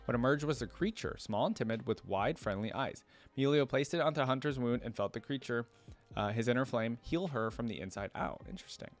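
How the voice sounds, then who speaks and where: A man's voice: mid-range voice, a little fast, soft background music. A man hosting a reaction video, reading story text aloud and commenting in an informal, rambling, conversational way. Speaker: YouTube reaction video host.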